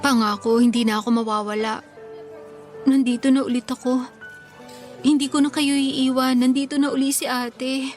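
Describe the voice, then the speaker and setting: A woman's voice: sits high, level tone, normal speed, long pauses, faint music behind, natural voice. A woman with a Filipino accent playing a tender, responsible elder sister in a radio drama. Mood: tender, resolute.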